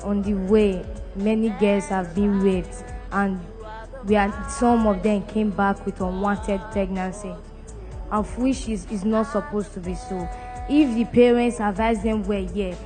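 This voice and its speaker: A woman's voice: mid-range voice, steady tone, measured pace. A woman with a Nigerian accent speaks earnestly about her experience, her delivery plain and broken by restarts. Faint music plays in the background. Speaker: returnee giving testimony. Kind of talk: earnest personal testimony.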